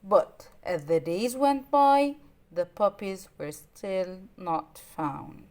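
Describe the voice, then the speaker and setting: A woman storyteller reading aloud to children in an expressive, simply worded way with dramatic emphasis. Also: mid-range voice, slow pace.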